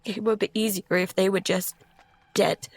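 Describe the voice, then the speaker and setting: A woman acting a frightened, exhausted young survivor adrift at sea in an audio drama, halting and husky. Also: mid-range voice, little pitch movement, a little mellow. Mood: hushed, drained dread.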